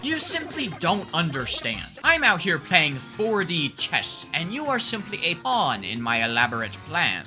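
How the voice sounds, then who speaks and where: A woman narrates a comedic video essay with a bright, forceful voice on the lower side. Her delivery swings widely in pitch and is theatrical and sarcastic, with faint music underneath. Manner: theatrical, sarcastic, mock-grandiose.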